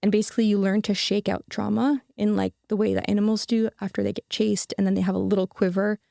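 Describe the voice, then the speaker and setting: A woman's voice: mid-range voice, level tone, fast talker, vocal fry, warm tone, no echo, studio quality. A young adult woman, a podcast guest, telling a personal story in an earnest, casual way with many fillers and restarts.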